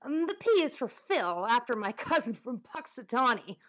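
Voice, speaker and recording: A woman's voice: fairly high pitch, very animated, normal speed, fairly bright tone, voice pushed up. A woman voicing a fussy, nervous male woodchuck in a children's storybook, with a folksy, formal and dramatic way of talking. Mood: chatty, grumbling.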